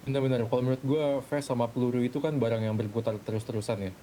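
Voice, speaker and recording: A man talks through his reasoning point by point in a medium-pitched voice. It is a casual gaming voice chat, with faint rumbling noise underneath. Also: steady tone, very fast, warm tone. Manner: explanatory, making points step by step. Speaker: player in a role-play gang meeting. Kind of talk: casual discussion in an online game voice chat. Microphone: gaming voice chat microphone.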